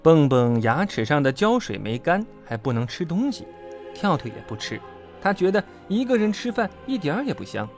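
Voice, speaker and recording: Animated storyteller, expressive children's tale narration, dramatic delivery, faint music behind. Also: mid-range voice, normal speed.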